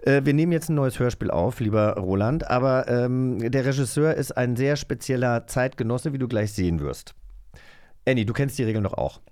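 A man's voice: medium pitch, moderate pace, a little mellow, clear, resonant tone, no echo, clean studio sound. A man co-hosting a podcast in casual, conversational chat. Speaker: podcast co-host.

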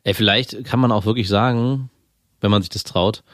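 A man co-hosting a podcast, giving thoughtful, measured relationship advice in casual chat. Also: lowish voice, fairly bright tone, no echo, clean studio sound. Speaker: podcast co-host.

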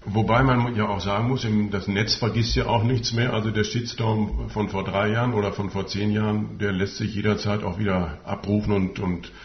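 A man's voice: lowish voice, fairly even intonation, normal speed, hardly any pauses, reverberant, lo-fi sound. A man hosting a podcast interview, asking long, winding questions with many fillers. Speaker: podcast host.

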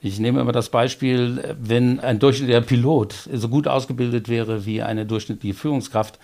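Middle-aged male coach, interview guest; calm, explanatory, anecdotal. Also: mid-range voice, vocal fry. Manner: measured, explanatory, occasional filler hesitations; tells personal anecdotes to make points. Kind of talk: interview conversation.

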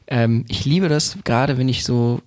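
A man, a guest DJ chatting in a relaxed way with lots of fillers and restarts. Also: mid-range voice, unhurried. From Berlin, Germany. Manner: hesitant, many fillers and restarts, laughs easily. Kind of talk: relaxed conversational podcast chat.

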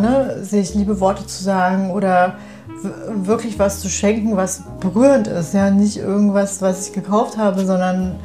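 A woman's voice: medium pitch, warm tone. A woman in a casual, reflective chat with a friend. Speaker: conversation partner in a personal audio piece.

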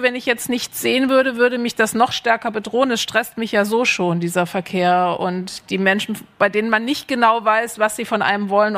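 A woman's voice: mid-range voice, fairly bright tone. A woman moderating a panel presentation, friendly and reflective, with some fillers. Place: panel stage at an arts academy.

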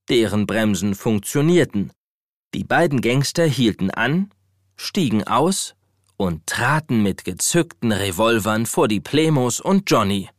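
A man narrating a children's radio play as a storyteller, in a measured, wryly dramatic way. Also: mid-range voice, no echo, clean studio sound.

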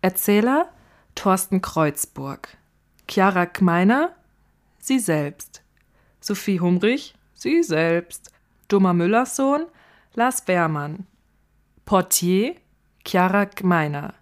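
A woman's voice: medium pitch, expressive, slow pace, pauses often. A woman performing in an audio play as a dry, teasing young woman, in an everyday voice. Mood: announcing. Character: dry, teasing young woman travel companion, playing herself. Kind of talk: audio play dialogue and credits reading.

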